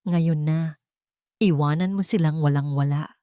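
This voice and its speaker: Woman acting a low, commanding, vengeful businesswoman. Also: fairly even intonation, unhurried, mellow, clear, resonant tone, no room sound, clean studio sound. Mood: cold, commanding. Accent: Filipino. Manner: clipped, firm, commanding.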